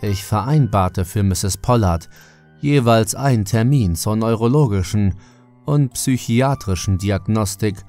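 Guy narrating horror audiobook, lowish voice, really slow, music behind. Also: dark tone. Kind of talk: scripted first-person horror audiobook narration.

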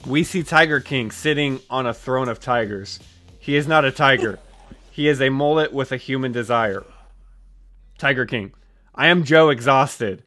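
A young man reading comedy scripts aloud casually and deadpan, sometimes close to giggling. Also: mid-range voice, moderate pace, faint music behind. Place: small dim room, indoors. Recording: home microphone with background noise, clicks.

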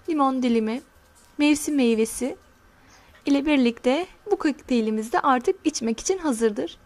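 A high-pitched woman gives a recipe voice-over, talking viewers through each step slowly and carefully. She sounds middle-aged and friendly, calling her audience friends as she explains. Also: a little mellow. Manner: slow, careful instructions. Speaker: home cooking channel host. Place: home kitchen counter.

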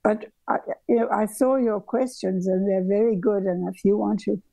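An older woman from southern Africa reminisces over a video call with a mellow, slightly gravelly voice. She wanders through her stories with many ums and restarts, and the sound is dry and close. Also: mid-range voice, moderate pace, no echo. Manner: meandering, with frequent restarts and ums. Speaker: guest architect. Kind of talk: reflective conversational storytelling. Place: home bedroom workroom, indoors.

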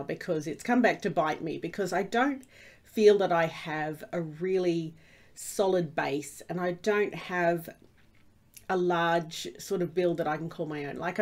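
A woman's voice: fairly low pitch, darkish tone. A woman with a stuffy cold, rambling through casual commentary over her Minecraft gameplay with lots of fillers and restarts. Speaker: Minecraft let's play host.

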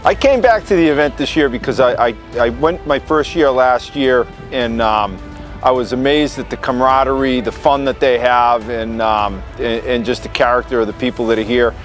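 Halting man, medium pitch. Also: faint music behind. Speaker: event participant in construction. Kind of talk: casual interview.

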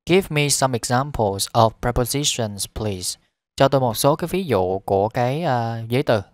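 A man teaching an English lesson. He repeats each phrase carefully and then rattles off his explanation. His voice sits at a middle pitch, and the recording is close and dry. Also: lively intonation, moderate pace, dry recording.

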